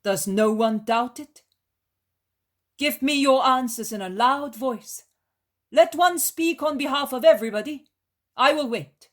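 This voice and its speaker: A woman playing a gentle yet commanding male religious teacher in a dramatised story, solemn and emphatic. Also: sits high, a little slow, pauses often, pitched above the performer's own voice. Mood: stern questioning.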